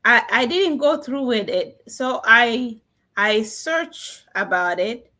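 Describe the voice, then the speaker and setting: A middle-aged woman from South Sudan, a guest telling her story in an earnest, animated way over a video call. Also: fairly high pitch, takes it slowly. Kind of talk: testimonial interview.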